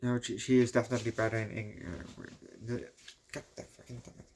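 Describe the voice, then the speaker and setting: A man with a Dutch accent, a native Dutch speaker, casually rambling through a movie review, swearing often and filling pauses with "you know". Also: mid-range voice, flat delivery, darkish tone, a little hiss or hum. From Netherlands.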